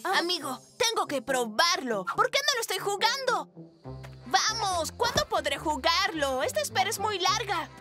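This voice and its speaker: Casting: boastful cartoon bear cub, very high childlike pitch, wildly swooping delivery, dramatic, music behind. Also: sing-song, moderate pace. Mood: impatient. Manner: exclamatory, dramatic.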